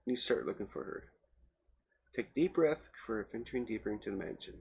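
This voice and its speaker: A man's voice: on the low side, lively intonation, a little fast, gravelly. A man reading a game's text aloud as a let's play host, stumbling here and there and adding casual asides. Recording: very noisy home recording.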